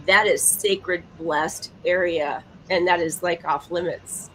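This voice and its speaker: Female co-host, slightly low voice, emphatic conversational delivery, faint noise behind her. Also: fairly even intonation, slow, fairly bright tone, poor audio. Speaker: podcast co-host. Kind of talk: casual chat.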